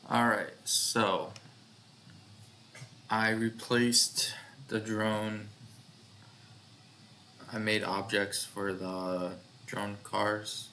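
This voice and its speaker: Male developer, casual screen demo: low-pitched, very unhurried, hesitant thinking-aloud delivery, faint noise behind. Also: level tone, bright, forceful tone. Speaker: developer presenting own project.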